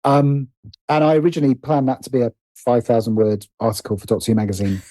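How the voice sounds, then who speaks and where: A man's voice: medium pitch, level tone, quick pace, warm tone, no echo. A British man speaking as a podcast guest in casual conversation, hesitant and thoughtful as he circles around his points. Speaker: podcast guest, writer and producer.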